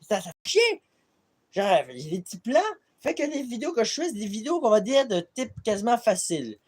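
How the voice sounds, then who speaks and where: A man's voice: sits high, big pitch swings, fairly quick, husky. Rambling, foul-mouthed young man from Quebec. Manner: rambling, swears a lot, self-deprecating. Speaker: vlogger. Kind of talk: casual rambling vlog monologue. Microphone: tablet camera mic.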